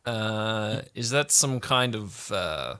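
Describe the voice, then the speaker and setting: A man's voice: lowish voice, expressive, slow pace, pushed and bright, clear, resonant tone, full character voice. Man doing a breezy teenage dude cult leader. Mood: breezy, self-important. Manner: slangy surfer-dude drawl, breezy and self-important. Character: laid-back fifteen-year-old boy, self-elected camp cult leader.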